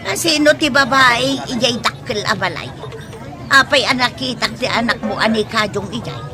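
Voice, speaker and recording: A woman's voice: on the high side, moderate pace, a crowd in the background, close to an everyday voice. A woman with an Ilocano accent playing a nosy, grumbling rural mother in a radio drama. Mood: grumbling, goading. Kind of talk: Filipino radio drama acting.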